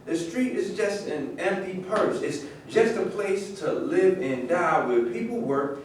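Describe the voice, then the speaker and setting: Male stage reader, mid pitch, measured pace, heavy room echo. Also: animated. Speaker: actor in a staged play reading. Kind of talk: staged script reading. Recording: echoey room, distant mic, faint noise.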